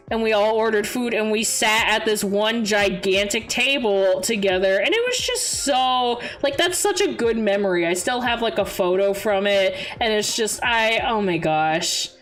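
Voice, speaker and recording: A young adult woman chatting casually on a livestream as its host, expressive and giggly as she tells stories and answers viewers. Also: on the high side, rough recording. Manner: giggly, animated storyteller with expressive exclamations. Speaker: VTuber streamer, host. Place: indoor livestream. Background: background music.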